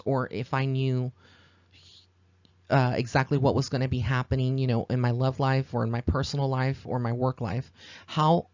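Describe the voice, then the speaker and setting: A man's voice: medium pitch, darkish tone, no echo. American man podcasting, slightly muffled dry sound. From Texas, United States. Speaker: psychic medium podcast host. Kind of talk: informal spoken podcast monologue.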